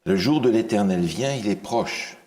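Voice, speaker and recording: A man preaching a Bible study, asking the listeners questions and pausing on fillers and restarts. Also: fairly low pitch, darkish tone. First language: not English. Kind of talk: interactive Bible teaching.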